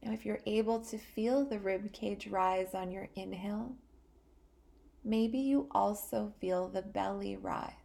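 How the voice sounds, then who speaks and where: A woman leads a guided meditation at a very unhurried pace. Her voice is soft-edged and mellow, with a creaky fry in it. She sounds calm and soothing, leaving long pauses between short phrases. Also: mid-range voice. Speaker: guided meditation podcast host.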